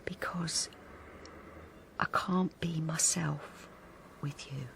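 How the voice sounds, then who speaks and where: A woman acting in a radio drama as a middle-aged English wife, wistful and quietly defiant, halting and often dropping to a whisper. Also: low voice, steady tone, creaky, heavily acted. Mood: hushed, hurt. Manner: halting, repeats phrases, often drops to a whisper. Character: weary long-married wife newly wistful and quietly defiant. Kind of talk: radio drama acting, intimate dialogue and narration.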